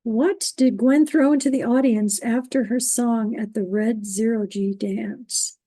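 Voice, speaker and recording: A woman moderating an online trivia quiz, reading out questions and standings, who is losing her voice and keeps clearing her throat. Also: a little high, dark tone, no echo. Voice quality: gravelly, husky. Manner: upbeat, reading standings, halting on invented words.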